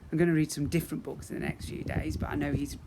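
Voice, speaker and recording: A man's voice: a little high, flat delivery, fast talker. A man narrating a children's story expressively, as a teacher reading to young pupils. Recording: faint background noise.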